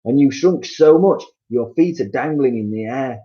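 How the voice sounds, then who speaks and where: A man's voice: mid-range voice, lively intonation, normal speed, warm tone, highs cut off, voice pushed up, close to an everyday voice. A man voicing a nasty old man who taunts and jeers at his wife in a storybook reading. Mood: taunting. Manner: taunting, jeering. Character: cruel gloating old man, nasty prankster.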